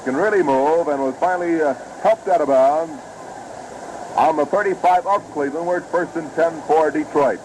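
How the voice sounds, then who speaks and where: Male American sportscaster, fifties radio play-by-play, fairly high voice, formal yet dramatic, noisy old broadcast. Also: moderate pace, a little mellow. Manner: formal, detailed, dramatic narration of each play. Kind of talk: 1950s American football radio commentary.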